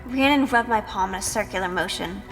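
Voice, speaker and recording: A woman's voice: mid-range voice, fairly quick, fairly bright tone, long reverb tail, lightly acted. A woman playing a timid, sheltered young woman in an audio drama, hesitant and anxious. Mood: uneasy, reflective. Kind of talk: audio drama acting and first-person narration. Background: music.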